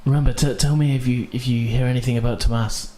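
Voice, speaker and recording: A man's voice: medium pitch, steady tone, fast, warm tone. A British man casually improvising narration as game master, rambling and hesitant as he goes. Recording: roomy echo, faint background noise.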